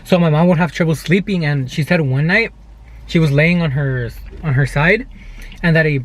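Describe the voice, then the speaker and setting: A young adult man with a high voice talks in a loose, chatty vlog style. He tells stories casually as a co-host, speaking to a camera microphone inside a car. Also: normal speed. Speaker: vlogger, channel co-host.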